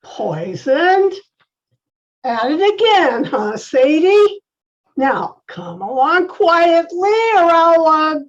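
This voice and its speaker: A woman's voice: sits high, sing-song, extremely slow, lo-fi sound, exaggerated voice. A woman playing a brusque, suspicious police detective in old-time radio style, giving tough, colloquial orders. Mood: stern, commanding. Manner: clipped commands, tough-cop colloquial talk.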